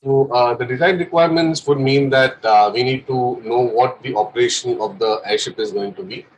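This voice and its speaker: A man's voice: medium pitch, moderate pace, low-quality recording. A young man with an Indian English accent and a cough, giving a technical lecture with frequent fillers. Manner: careful explanation with frequent fillers. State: has a cough. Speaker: presenter of a course session.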